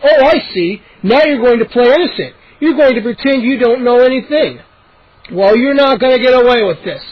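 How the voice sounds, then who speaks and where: A man voicing a furious, menacing grown neighbor who shouts and sneers. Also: very high-pitched, expressive, normal speed, dry recording, pitched above the performer's own voice. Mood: accusing. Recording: dull lo-fi recording.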